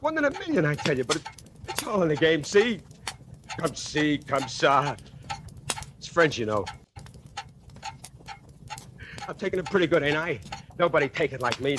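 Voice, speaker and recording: An actor playing a condemned male convict in a 1940s radio drama, whose swaggering bravado cracks into frantic pleading, on a noisy old broadcast recording. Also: sits high. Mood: brash taunting. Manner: boastful bravado breaking into pleading terror. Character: panicking condemned male convict facing execution.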